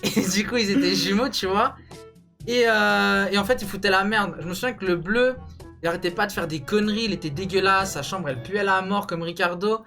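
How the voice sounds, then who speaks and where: A man's voice: sits high, brisk. A young man chatting casually and jokingly in slangy language, like a YouTube host telling stories to a friend. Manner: slangy, joking, animated storytelling. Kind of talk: casual banter, ranking cartoons. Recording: music behind the voice.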